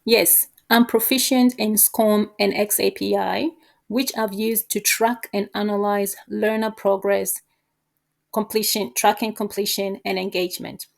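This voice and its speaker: Woman doing practiced job interview answers, formal and measured, candidate style. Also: medium pitch, level tone, gravelly.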